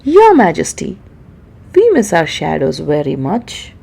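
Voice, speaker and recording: Storybook polar bear captain, male, read by a woman: formal, earnest, courteous, slightly raised husky voice. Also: deep voice, huge pitch swings, unhurried, mellow, voice pushed down, full character voice. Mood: respectful appeal. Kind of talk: children's storybook character reading.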